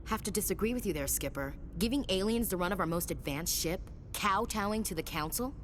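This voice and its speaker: An actress playing a proud, defiant young human soldier in a video game, with a blunt, sardonic manner. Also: female voice, lively intonation, brisk, natural voice. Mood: sardonic, argumentative. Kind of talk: video game dialogue acting. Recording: rumbling background noise.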